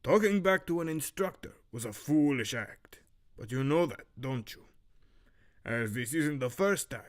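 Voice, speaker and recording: A stern master of archery played by a man speaks in a medium register with a faintly Romanian accent. His delivery is formal and measured, admonishing a student in an audio drama. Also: mid-range voice, animated, close to an everyday voice. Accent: put-on, faintly Romanian.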